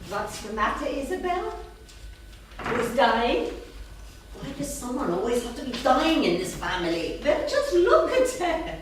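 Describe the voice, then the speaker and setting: A woman's voice: high-pitched, very animated, measured pace. Woman acting a fussy, dramatic grandmother in an echoey hall. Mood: exasperated, wry. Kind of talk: staged script reading. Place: theatre, staged reading. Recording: very echoey, noisy hall from far off.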